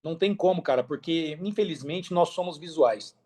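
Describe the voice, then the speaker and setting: A man's voice: a little high, moderate pace. A Brazilian man chatting casually as a podcast guest, speaking emphatically, repeating phrases and using plenty of slang. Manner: emphatic, repeats phrases, slangy, animated storytelling with acted-out quotes. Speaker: podcast guest, affiliate marketer.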